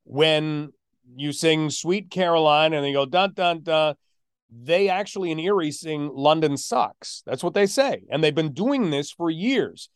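A man with a slightly high voice hosts a sports podcast in a dry, close-sounding recording. He speaks like a play-by-play announcer telling a story, measured and leaning on key words. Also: expressive, smooth, no echo. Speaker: podcast host and hockey radio play-by-play announcer.

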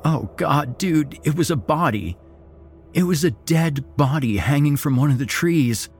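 A man voicing a terrified young man who stammers and shouts in panic. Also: mid-range voice, measured pace, husky, soft background music, pitched above the performer's own voice.

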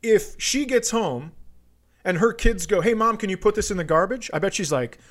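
A man's voice: high-pitched, lively intonation, moderate pace. A man hosting a comedy podcast, a comedian with a blunt, teasing, profane way of bantering.

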